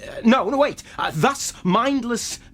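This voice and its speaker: A man playing a lonely, stranded man in a radio drama, excitable and rambling as if unhinged by solitude. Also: very high-pitched, heavily acted. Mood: uncertain, straining. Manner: rambling, wildly emotional, halting.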